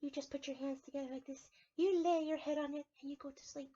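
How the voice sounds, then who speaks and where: Very high-voiced woman reading to preschoolers. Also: highs cut off. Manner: expressive, drawn-out storytelling, addressing children directly. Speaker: preschool teacher. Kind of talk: picture-book read-aloud for young children, with asides and questions.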